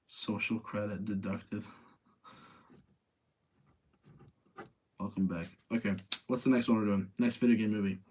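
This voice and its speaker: Casual male streamer with a slightly husky voice. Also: fairly low pitch, fairly even intonation, takes it slowly, pauses often, warm tone. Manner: opinionated, self-debating, repeats phrases for emphasis. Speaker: streamer hosting a live movie-rating chat. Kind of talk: casual chat with live audience.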